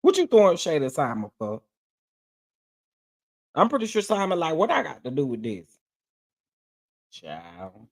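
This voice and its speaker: A man giving sassy, scolding reaction commentary over an interview clip, with drawn-out exclamations. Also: fairly high pitch, wildly swooping pitch.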